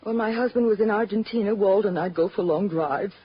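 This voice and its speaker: A woman's voice: medium pitch, brisk, a little hiss or hum, lightly acted. An actress playing a secretive, wistful married woman in 1940s radio drama, earnest and melodramatic. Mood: wistful, confessional. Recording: dull vintage radio recording.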